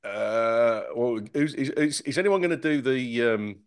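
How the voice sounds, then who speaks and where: A man on a casual tech podcast panel, talking in an animated, sarcastic way with many stumbling restarts. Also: medium pitch, a little slow, clean tone, no echo, studio quality.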